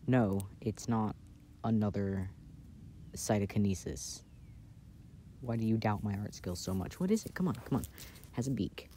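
A man playing an affectionate, playful boyfriend in a casual, intimate ASMR roleplay, speaking softly and hesitantly, recorded outdoors. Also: on the low side, normal speed, pauses often, natural voice. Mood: playful, teasing. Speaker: amateur audio roleplay performer. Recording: outdoor ambient noise.

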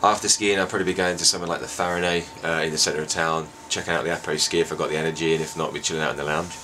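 A man in his forties talks fast and loose outside a snowy chalet, his voice very low but bright and forceful. He rambles with fillers and restarts, picked up by a camera mic with faint outdoor ambience behind him. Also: flat delivery, slight background noise. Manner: chatty, with frequent fillers and restarts. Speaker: ski school owner. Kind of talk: casual outdoor interview. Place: outdoors by a wooden chalet in snow.

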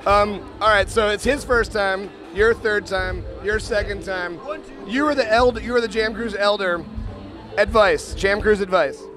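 High-voiced joking man interviewing amid music. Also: a little slow. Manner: joking, teasing. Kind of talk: casual on-the-spot interview chat. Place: busy open deck bars on a cruise ship. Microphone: handheld microphone. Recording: loud music and crowd behind voice.

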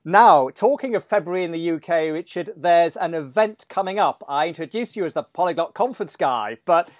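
A chatty middle-aged British host with a slightly higher voice, interviewing a guest over a video call from a parked car. The sound is dry and lo-fi, and his manner is relaxed and informal. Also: brisk, no echo. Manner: chatty, informal, addresses the viewers as folks. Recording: dull lo-fi video call audio.

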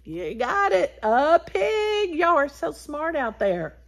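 An older woman in her sixties reads aloud to small children as a story time host. Her voice sits fairly high and moves up and down a lot. She takes it very slowly, drawing words out and addressing the children directly. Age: 60s. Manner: animated, addressing children directly, drawn-out words and animal sounds. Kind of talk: read-aloud for young children. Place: small wood-paneled room indoors.

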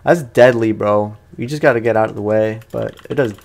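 A man's voice: on the low side, brisk, creaky, a little mellow. A man giving casual let's play commentary on a video game, chatting to viewers in slangy language. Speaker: gaming YouTuber.

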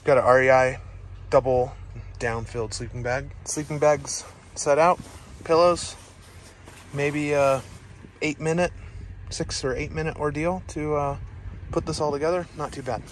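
A man's voice: on the high side, a little slow, long pauses, a little hiss or hum. A man in his thirties giving a casual, matter-of-fact camping vlog outdoors, talking to a handheld camera with plenty of fillers. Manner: relaxed, matter-of-fact show-and-tell with fillers. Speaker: overland camping vlogger. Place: outdoors at a forest campsite.

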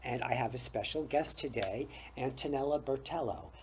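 A man's voice: medium pitch, moderate pace, a little mellow, a little hiss or hum. An older man hosting a studio interview show, chatty and easygoing, laughing often and tripping over his words. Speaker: talk show host. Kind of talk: casual interview chat. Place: small TV studio set with bookshelves.

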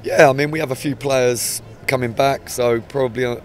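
A man in his forties, a football manager with an English accent, giving a measured, hesitant post-match interview outdoors by the pitch. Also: steady tone, a little hiss or hum. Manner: measured, hesitant, many restarts. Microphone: handheld mic held up close.

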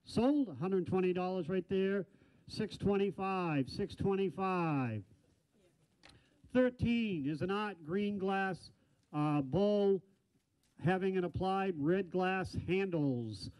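An older man calling bids as an auctioneer in a large indoor hall, rattling off numbers in a fast chant with folksy asides, speaking into a handheld mic. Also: sits high, a little mellow. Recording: dull lo-fi sound.

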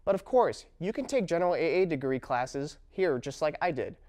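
A man's voice: fairly high pitch, big pitch swings, moderate pace, a little mellow. A young adult man, a student presenter, reading a script to camera in an indoor studio with an upbeat delivery. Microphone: lapel mic.

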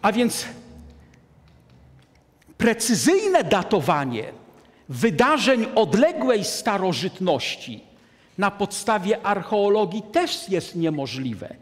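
A man in his sixties giving a deliberate, emphatic lecture to an audience from a lectern in a large hall. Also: sits high, sing-song. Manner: deliberate, emphatic, gestures at a map. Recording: long hall reverb.

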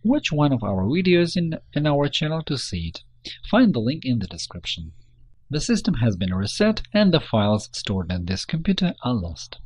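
A man reading a scripted instructional narration in a measured, step-by-step manner. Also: medium pitch, sing-song, husky. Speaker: tutorial narrator.

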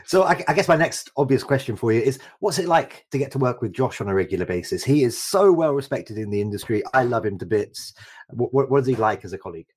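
A man's voice: mid-range voice, expressive, quick pace, darkish tone, husky. A man hosting a podcast interview, effusive and full of praise for his guest. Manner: effusive, complimentary. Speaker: podcast host.

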